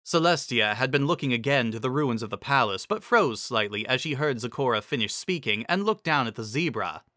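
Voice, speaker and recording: A man narrates a fantasy story as a dramatic reading. His pitch is mid-range and very lively, and the tone is bright and forceful. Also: fairly quick, no room sound, studio quality.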